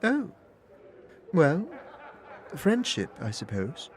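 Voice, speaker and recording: A man's voice: wildly swooping pitch, drawn-out words, full character voice. A man voicing a gracious older noblewoman in a fan audio drama, speaking formally and courteously. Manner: courteous, formal, high and sing-song.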